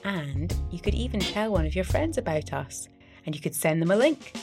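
A woman reading a story for young children in an expressive, deliberate storytelling manner, as the host of a story series. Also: on the low side, music in the background.

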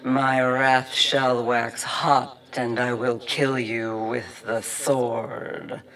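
A man's voice: flat delivery, fairly bright tone. Man putting on a bellowing fire-and-brimstone preacher. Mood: thundering. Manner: slow, booming declamation.

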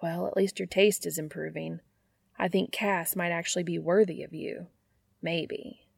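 Woman voicing a teasing little brother, creaky edge. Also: fairly high pitch, fairly even intonation, moderate pace, dry recording, full character voice. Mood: quietly affectionate. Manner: teasing, exclaiming. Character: teasing, whiny younger brother, affectionate and amused.

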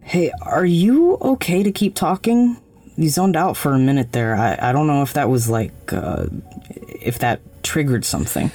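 An actress playing a frank, caring young friend, casual, tentative and supportive, in a naturalistic audio drama. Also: female voice, sits low, expressive, moderate pace, warm tone, faint music behind. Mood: careful, concerned.

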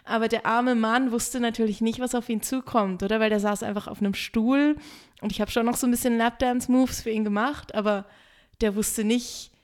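A woman's voice: a little high, fairly quick. A woman chats casually as a podcast co-host. She tells her stories in a giggly, conversational way, slipping into little acted-out quotes. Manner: giggly, animated storyteller who acts out quotes. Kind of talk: casual podcast chat with personal storytelling.

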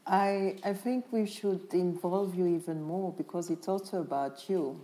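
A woman moderating a panel discussion, soft and encouraging, inviting others to speak. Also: lowish voice, unhurried, poor audio. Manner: soft, encouraging, frequent murmured agreement.

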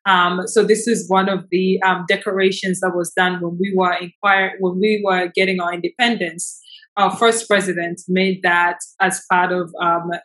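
A woman's voice: on the low side, level tone, normal speed, a bit bright, low-quality recording. Enthusiastic woman from Tanzania, interview guest. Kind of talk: conversational interview answers.

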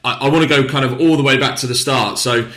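A man's voice: mid-range voice, fast talker, fairly bright tone. A man hosting a casual podcast interview, asking rambling questions with hesitations and repeated words.

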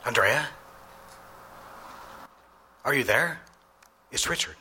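An actor playing a married advertising boss in a live radio drama, commanding and emphatic yet smitten. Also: male voice, medium pitch, expressive, takes it slowly, pushed and bright, slight background noise, exaggerated voice. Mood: grateful, weary. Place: live on stage in a theater.

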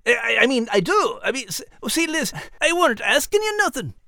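Cheery dim scottish cartoon dog, high voice, super bouncy pitch, music behind. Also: big pitch swings, fairly quick, gravelly, bright, forceful tone, no echo, clean studio sound, full character voice. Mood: cheerful. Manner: folksy, ungrammatical, hearty. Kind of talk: animated children's audio drama.

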